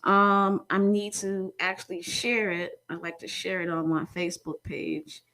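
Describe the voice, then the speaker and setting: A woman's voice: on the low side, flat delivery, a little slow, pushed and bright. A woman hosting a live-streamed interview, speaking in a chatty, encouraging way. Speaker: host.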